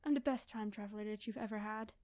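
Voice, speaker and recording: A woman's voice: medium pitch, fairly even intonation, fast talker, a little mellow, dry recording, studio quality, natural voice. A woman playing a quirky, chatty time traveler from the future, rambling and flustered, in an ASMR roleplay. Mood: hushed, exasperated. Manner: rambling, flustered, hesitant, cheery. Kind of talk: ASMR storytelling roleplay, one-sided conversation.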